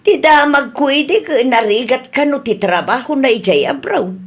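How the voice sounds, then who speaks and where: Plain-spoken woman, fairly high voice, Ilocano Filipino accent, radio comedy drama. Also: expressive, normal speed. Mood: reproving.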